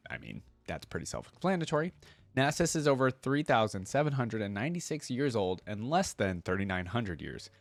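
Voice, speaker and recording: A man's voice: medium pitch, dry recording. A man hosting a reaction video, casually reading aloud and commenting as he thinks things through.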